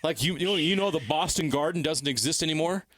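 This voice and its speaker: A man's voice: on the high side, quick pace, fairly bright tone, a little hiss or hum. A man co-hosting sports talk radio, insistent and blunt, driving opinions home in short repeated phrases on a close studio mic. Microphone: close broadcast studio mic.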